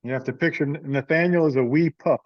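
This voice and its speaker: A man's voice: fairly high pitch, quick pace, no room sound. A man leading an informal conference call meeting, speaking conversationally. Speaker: meeting leader. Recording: dry, slightly dull call audio.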